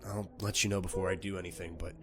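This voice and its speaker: Man acting low-voiced, reassuring emergency doctor. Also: level tone, fast, heavy background noise, natural voice. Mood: gentle, reassuring. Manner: gentle, reassuring, authoritative. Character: calm, reassuring male emergency doctor tending critical patient. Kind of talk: audio roleplay acting.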